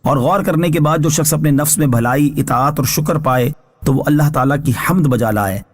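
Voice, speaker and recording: Male religious scholar for scripted exegesis narration. Higher than average pitch, rough-edged tone, formal teaching delivery. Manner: measured, formal, instructive. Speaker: Islamic scholar reading translation and commentary.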